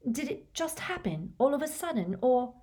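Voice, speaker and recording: A woman voicing a gentle, concerned and sensible teenage schoolgirl with a soft, careful manner in a storybook reading. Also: fairly high pitch, animated, normal speed, slightly rough, voice pushed up.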